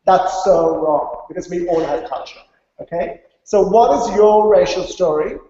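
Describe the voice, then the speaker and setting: Conference speaker, male, from India, higher-pitched but mellow, didactic delivery, heavily reverberant hall recording. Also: unhurried. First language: English. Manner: didactic, stresses key points with check-in tags. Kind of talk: conference presentation. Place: large reverberant conference room. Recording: very echoey hall, dull muffled sound.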